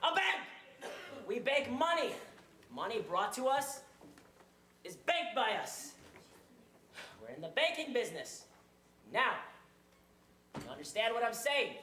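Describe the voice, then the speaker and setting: A stage performer playing an exasperated, stiffly formal male bank manager who often shouts. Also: medium pitch, big pitch swings, takes it slowly, pauses often, a little hiss or hum, full character voice. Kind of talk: stage comedy acting. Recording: echoey room.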